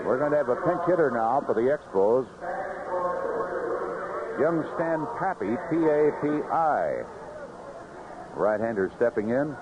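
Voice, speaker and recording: An American man calling baseball play-by-play live on the radio in clipped phrases, in an animated manner. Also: medium pitch. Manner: animated calls rising sharply on big plays, clipped phrases. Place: ballpark broadcast booth. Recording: noisy, muffled vintage AM radio broadcast.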